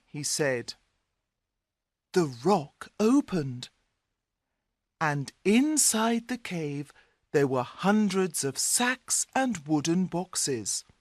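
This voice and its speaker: A woman's voice: fairly low pitch, no echo, clean studio sound. A woman narrating a scripted fairy tale for language learners, in careful, separated phrases. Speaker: storyteller.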